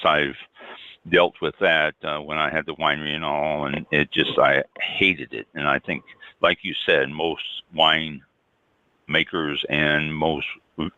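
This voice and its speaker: A man hosting a radio interview, talking haltingly with sudden upward jumps in pitch. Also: bright, forceful tone, no room sound. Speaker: radio show host. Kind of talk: interview chat. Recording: dull lo-fi dry sound.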